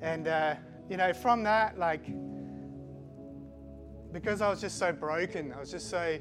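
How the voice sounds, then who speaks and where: A middle-aged man born in Switzerland and raised in Australia, with a mixed Australian accent, giving a halting personal testimony full of fillers and restarts. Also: high-pitched. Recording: music playing behind the voice.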